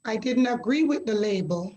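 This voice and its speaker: A middle-aged woman from Jamaica, a guest sharing her story in a measured, reflective way with pauses. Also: a little high, lively intonation, warm tone, dull audio, slight background noise. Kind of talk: conversational interview.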